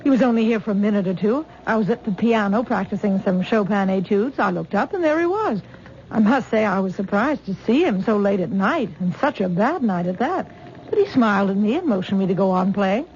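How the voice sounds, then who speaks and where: A woman's voice: medium pitch, expressive, a little fast, darkish tone, natural voice. A dreamy older mother with a lilting, whimsical lilt, voiced by an actress in an old radio play. Her voice swings widely up and down. The sound is dull and slightly hissy, like a vintage broadcast. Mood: warm. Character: doting, dreamy older mother, easily charmed. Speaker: mother of the heroine. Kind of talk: 1970s radio drama acting.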